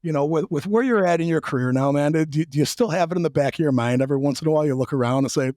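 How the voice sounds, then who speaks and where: A man with a raspy voice after a trip, hosting a podcast and talking bluntly and casually, with some swearing and joking. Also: on the high side, rapid-fire, darkish tone, dry recording, clean studio sound. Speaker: podcast host. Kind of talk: casual interview and monologue intro.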